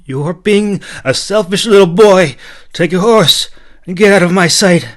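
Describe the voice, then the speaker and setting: A man's voice: high voice, moderate pace, voice pushed up, natural voice. A man voicing a commanding, heartbroken young princess in a fantasy audiobook. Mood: angry, commanding. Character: tearful, commanding young princess banishing her brother.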